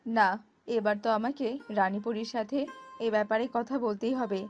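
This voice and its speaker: A protective elder fairy matriarch from a cartoon voiced by a woman, with a fairly high voice. She is righteous, resolute and motherly, with music behind her. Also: steady tone, normal speed, highs cut off, close to an everyday voice. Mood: troubled, indignant. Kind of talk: cartoon voice acting.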